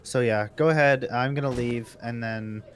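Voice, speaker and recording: A man's voice: mid-range voice, moderate pace. A man chatting casually as a co-player during a streamed video game. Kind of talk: casual chat while gaming. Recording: faintly noisy online game chat.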